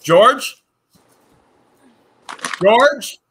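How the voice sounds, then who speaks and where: A man co-hosting a casual vlog, opening fan mail and reading letters aloud with playful, animated jokes. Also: very high voice, very slow, slight background noise. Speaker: channel co-host.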